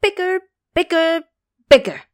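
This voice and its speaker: A woman's voice: extremely high pitch, unhurried, no room sound, clean studio sound, voice pushed up. A woman voicing a boastful, gleeful male Monkey King in an audio drama, proud and showy with a commanding streak. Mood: excited, commanding. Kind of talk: audio drama character acting.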